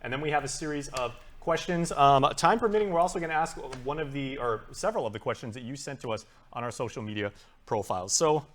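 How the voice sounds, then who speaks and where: A man's voice: mid-range voice, quick pace, a bit bright. Upbeat male TV talk show host. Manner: upbeat, chatty, lots of fillers. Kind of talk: live TV talk show hosting.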